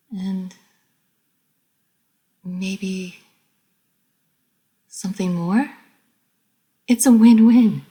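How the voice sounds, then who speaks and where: A woman voicing a refined, proud lady vampire who is easily flustered, speaking formally and with measured care in an audio roleplay. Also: medium pitch, mellow, husky. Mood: earnest self-encouragement. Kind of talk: scripted audio roleplay addressed to the listener.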